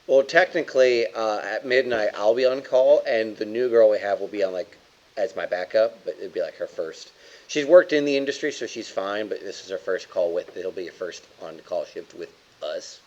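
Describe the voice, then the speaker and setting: A man's voice: fairly low pitch, expressive, normal speed, a little mellow. A man chatting casually as a player in a tabletop game. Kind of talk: casual chat. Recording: dull lo-fi microphone sound.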